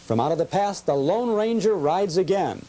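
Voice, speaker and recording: Male show host, mellow and inviting, friendly intro and interviewer. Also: sits high, animated, moderate pace.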